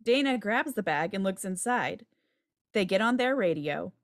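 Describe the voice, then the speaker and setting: A woman's voice: mid-range voice, animated, normal speed. Woman reading stage directions. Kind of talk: scripted narration at a table read. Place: indoor room, video call. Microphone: video call microphone.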